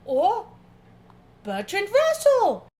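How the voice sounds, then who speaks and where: A woman voicing an excitable philosopher in a theatrical, halting, giggly reading of a debate. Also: very high-pitched, exaggerated voice. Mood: insistent. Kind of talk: dramatic reading of a debate transcript.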